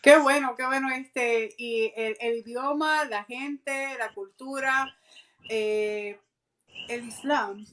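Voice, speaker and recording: A woman hosting a live radio interview from a mosque, hesitant, with many fillers and restarts. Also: fairly high pitch. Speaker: radio host.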